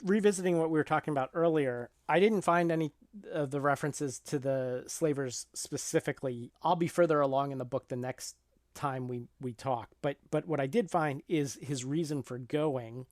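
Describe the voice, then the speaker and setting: A man co-hosting a casual film discussion, explaining things in a measured, conversational way. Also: fairly high pitch, a little mellow, dry recording, studio quality. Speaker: podcast co-host discussing a film.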